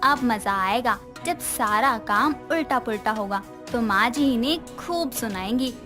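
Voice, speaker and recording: A woman acts out a scheming young sister-in-law from a cartoon family tale. Her voice sits high, and she needles with vain, sarcastic teasing. Music plays clearly underneath. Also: expressive, unhurried. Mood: sly. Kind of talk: animated Hindi moral story dialogue.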